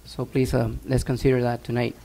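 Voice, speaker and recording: Gravelly, flat-voiced man at a board meeting. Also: medium pitch, brisk, poor audio. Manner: earnest, urging, with frequent fillers. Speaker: school board member.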